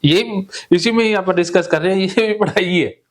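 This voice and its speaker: A man's voice: high-pitched, normal speed. A man, a therapist in a casual counseling chat, joking and laughing often.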